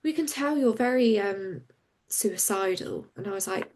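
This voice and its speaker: A woman, a campaigner and interview guest, speaking frankly and openly in a relaxed conversation. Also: mid-range voice. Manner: open and frank, quick and animated when recounting.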